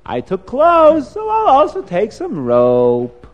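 A man's voice: extremely high pitch, wildly swooping pitch, takes it slowly, lo-fi sound, voice pushed up, heavily acted. A man voicing a cheeky, dismissive inner tempter in a children's moral tale, shrugging off rules and rationalizing. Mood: brazen.

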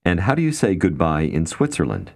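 A man's voice: sits low, wildly swooping pitch, normal speed. A man narrating a language course, reading short English phrases for the learner to repeat. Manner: clear prompting, reading short English cues. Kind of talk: scripted instructional narration.

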